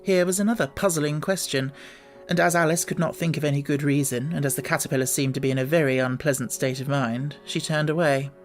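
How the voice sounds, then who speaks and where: A man reading a classic storybook aloud with measured, gently expressive narration. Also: a little high, faint music behind. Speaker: audiobook reader.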